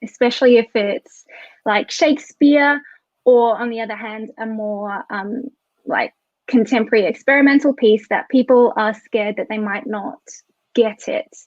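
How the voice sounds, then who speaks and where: A woman's voice: a little high, normal speed, no echo. Woman, playwright, chatty panel talk, muffled dry call audio. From Tasmania, Australia. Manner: chatty, joking, thinks aloud with restarts. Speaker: playwright and theatre maker. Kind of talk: online panel discussion.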